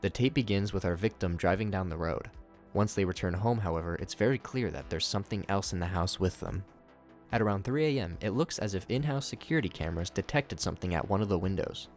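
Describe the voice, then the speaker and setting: Low-pitched male narrator over music. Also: expressive, fast. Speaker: YouTube narrator reviewing horror series. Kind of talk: scripted narration commentary.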